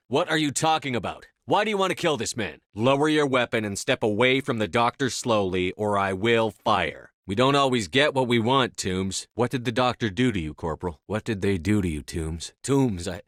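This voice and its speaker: A man acting in a video game as a commanding, resolute space soldier, speaking firmly with measured authority. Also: mid-range voice, lively intonation, a bit bright, no echo, clean studio sound, natural voice. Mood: concerned, urgent. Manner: firm, authoritative, measured delivery. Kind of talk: scripted game dialogue acting.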